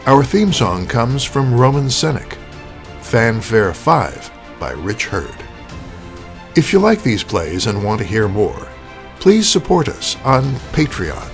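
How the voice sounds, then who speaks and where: A man hosting a radio theater show, giving scripted, deliberate, rhetorical narration. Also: mid-range voice, big pitch swings, faint music behind.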